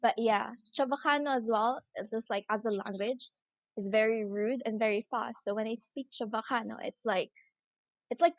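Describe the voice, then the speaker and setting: Bright-voiced woman guest, lively chat. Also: on the high side, moderate pace, dry recording. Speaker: podcast guest. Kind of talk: casual chat.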